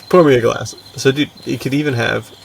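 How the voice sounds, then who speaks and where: A man's voice: mid-range voice, fairly even intonation, moderate pace, creaky. A man co-hosting a podcast in a casual, enthusiastic, slangy way, peppering his talk with dude and like. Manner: slangy, bro-ish, full of "dude" and "like". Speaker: podcast co-host. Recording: distant mic with faint background noise.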